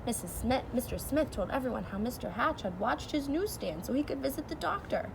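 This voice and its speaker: A woman teacher reading a children's storybook aloud, expressively, and pausing to explain it simply. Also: high voice, very animated. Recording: clear background noise.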